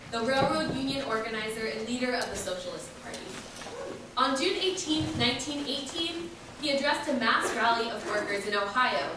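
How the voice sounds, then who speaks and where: Very echoey, distant woman narrator. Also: a little high. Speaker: narrator introducing a speech. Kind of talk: scripted historical narration. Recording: echoey hall from far off, noisy.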